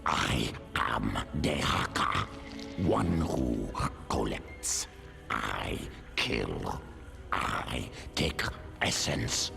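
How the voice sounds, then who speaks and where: A man's voice: a bass voice, vocal fry, heavily acted. A man voicing an ancient, predatory alien beast in a video game, with a guttural growl and terse, primitive phrasing. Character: ancient primal alien beast pack leader, cold and predatory. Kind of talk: video game cinematic acting. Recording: loud music under the voice.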